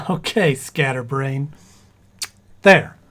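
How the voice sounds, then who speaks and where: A man acting a doting, excitable, stammering husband in a 1940s-style radio comedy. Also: mid-range voice, extremely slow. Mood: playful, flirty.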